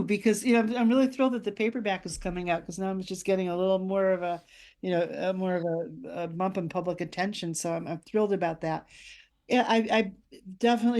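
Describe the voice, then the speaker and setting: An older woman, an author being interviewed, speaking in a thoughtful, anecdotal way with measured phrasing. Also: medium pitch, darkish tone. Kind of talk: reflective conversational interview.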